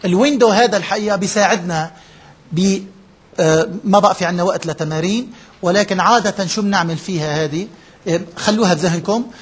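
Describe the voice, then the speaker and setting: Male workshop trainer, higher voice, slightly husky, talks fast, keeps checking the room understands. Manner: explains step by step, checks in with listeners often; drops English terms into his speech. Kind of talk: interactive lecture to a live group.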